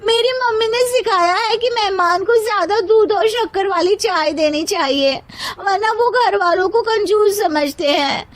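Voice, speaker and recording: Woman playing a shrill, whiny young daughter-in-law. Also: a little slow, hardly any pauses. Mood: argumentative.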